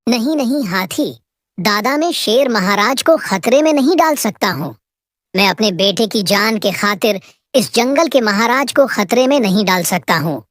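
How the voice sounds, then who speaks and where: A woman's voice: medium pitch, animated, normal speed, no echo, clean studio sound, natural voice. A woman voicing a humble, worried father deer who speaks earnestly and emotionally in a cartoon moral story. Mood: anxious, resolute.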